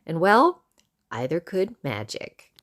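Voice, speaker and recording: A woman's voice: low-pitched, very animated, slow, fairly bright tone. A woman vlogger speaking in a chatty, enthusiastic way, casually reviewing motorcycle gear and narrating rides.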